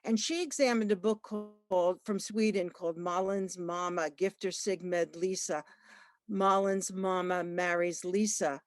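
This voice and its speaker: A woman librarian giving a talk at an online book launch, halting, with many fillers and restarts. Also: fairly low pitch, steady tone, no room sound, studio quality. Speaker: librarian and researcher. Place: online video call.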